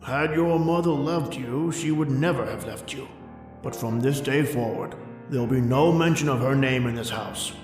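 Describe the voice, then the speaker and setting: A man playing a grave, tender but possessive father in an old-time radio drama, speaking in a hushed, solemn way. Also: medium pitch, normal speed, echoey room, big-room sound, music in the background, close to an everyday voice. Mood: bitter, tender.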